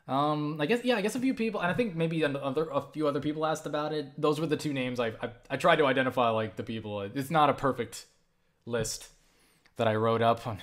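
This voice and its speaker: A man's voice: a little high, fairly quick. A young man answering viewer questions in a casual vlog, rambling and swearing, with many restarts. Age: young adult. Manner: rambling, profane, animated with frequent restarts.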